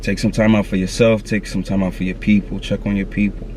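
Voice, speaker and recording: A man in his thirties speaks outdoors in a low register, his delivery level with little rise and fall. He talks earnestly and loosely in slang, circling back to the same advice. Faint traffic hums behind him. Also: little pitch movement, brisk, a little mellow. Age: 30s. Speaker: vlogger. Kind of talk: candid motivational talk to camera.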